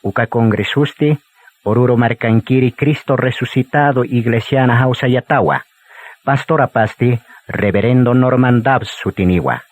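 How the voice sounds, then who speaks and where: A man's voice: mid-range voice, moderate pace. A man narrating a radio drama from a script.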